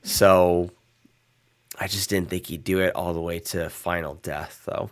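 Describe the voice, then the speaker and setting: A guarded male vampire in a tabletop game who rambles through his explanations, played by a man. The voice is very deep, hesitant and full of restarts. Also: steady tone, unhurried. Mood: apologetic.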